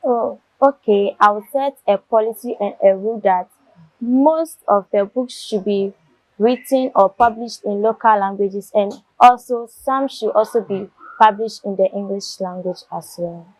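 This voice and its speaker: A young woman student, answering questions in a discussion in an earnest, measured way, pausing to find words. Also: medium pitch, dry recording, low-quality recording.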